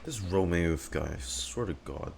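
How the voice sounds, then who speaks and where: A man's voice: low voice, a little slow, creaky, darkish tone, a little hiss or hum. A man streaming a video game, giving casual, exasperated reaction commentary full of swearing and muttered asides.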